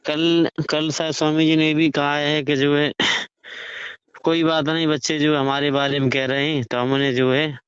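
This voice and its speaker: A man's voice: a little high, flat delivery, a little slow, fairly bright tone, clear, resonant tone, no echo, muffled recording. A man explains his disapproval in an informal phone conversation, often asking rhetorical questions. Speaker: religious storyteller-performer giving his opinion. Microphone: recorded phone call.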